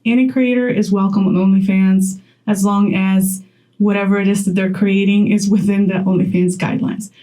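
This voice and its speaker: A woman's voice: mid-range voice, dark tone, rough recording. A young woman from Poland talking straight to camera in a chatty vlog, laughing often as she gives advice. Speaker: content creator.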